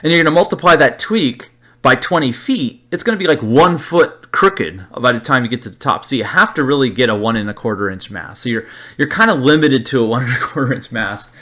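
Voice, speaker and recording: Halting, plain-spoken man explaining hands-on gear. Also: medium pitch, animated, fairly bright tone. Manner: halting, rambling, repeats words while thinking aloud. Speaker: presenter of an antenna review. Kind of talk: informal slide-show explainer, talking off the cuff.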